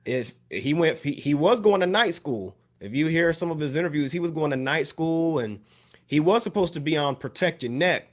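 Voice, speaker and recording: A man's voice: on the high side, lively intonation, moderate pace. A man co-hosting a podcast, talking casually and appreciatively about hip hop, often repeating words as he goes. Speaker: podcast co-host.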